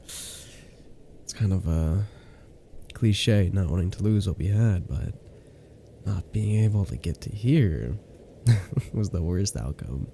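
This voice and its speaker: A man playing an affectionate, teasing boyfriend in an intimate ASMR roleplay, with a soft, playful, drawn-out delivery. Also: low-pitched, expressive, creaky, slight background noise, exaggerated voice. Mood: fond, reflective. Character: affectionate, teasing new boyfriend cuddling his girlfriend.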